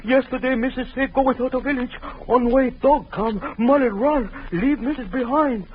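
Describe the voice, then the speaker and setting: A man's voice: very high-pitched, normal speed, a little hiss or hum. An actor playing a frightened foreign male servant who pleads in broken English, in an old radio drama. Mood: pleading. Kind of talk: 1940s radio acting. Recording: muffled old radio recording.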